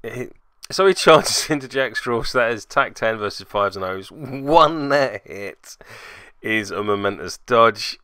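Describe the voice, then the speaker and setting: Wry man with a bright, crisp voice. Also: on the low side, lively intonation, unhurried, no echo, studio quality. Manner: wry, self-deprecating. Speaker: host narrating a tabletop game battle report. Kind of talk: casual recorded commentary.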